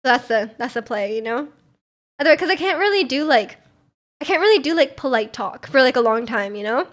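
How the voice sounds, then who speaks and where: High-voiced chatty young woman streamer. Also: lively intonation, a little fast, fairly bright tone. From Canada. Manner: rambling, giggly, many fillers and restarts. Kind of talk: casual chat.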